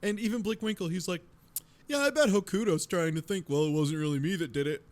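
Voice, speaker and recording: A man's voice: high voice, fast talker. A man in a casual comedic discussion of a visual novel, sweary and sardonic, often acting out lines in mocking paraphrase. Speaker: book club podcast panelist. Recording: music playing behind the voice.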